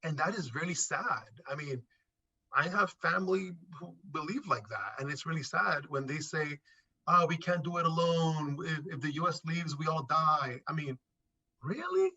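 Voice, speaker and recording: A man's voice: fairly high pitch, lively intonation, moderate pace, pushed and bright, husky, dull audio. A middle-aged man from Puerto Rico and New York City in a casual interview, speaking emphatically and repeating phrases for stress. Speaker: interview guest.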